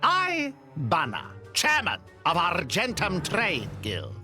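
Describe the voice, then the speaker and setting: A man's voice: high voice, wildly swooping pitch, sharp tone, faint music behind, full character voice. An actor voicing a pompous creature chairman who speaks in broken, grandiose phrases. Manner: broken grammar, grandiose, slow. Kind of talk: video game voice acting.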